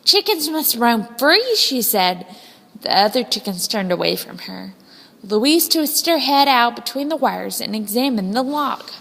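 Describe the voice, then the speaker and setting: A woman narrates a children's story in a fairly high voice with a touch of creak. Her phrasing is expressive and deliberate. A long reverb hangs around the recording. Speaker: storybook reader.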